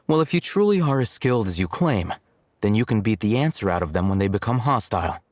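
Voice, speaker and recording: A man playing an aloof, shrewd young scholar in a video game, speaking in a measured, dry, articulate and condescending way. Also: lowish voice, very animated, a little mellow, no room sound. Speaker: game character voice. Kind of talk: scripted game cutscene dialogue. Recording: music behind the voice.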